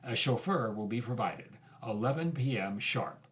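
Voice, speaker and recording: An actor playing a curious, understated investigator who asks hesitant questions in a conversational audio drama. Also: male voice, mid-range voice, expressive, moderate pace, lightly acted. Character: curious, low-key male investigator interviewing the granddaughter.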